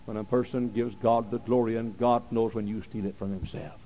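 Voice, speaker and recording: A man preaching a sermon, repeating phrases and swinging from quiet, slow talk to loud shouting. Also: lowish voice, steady tone, rough recording.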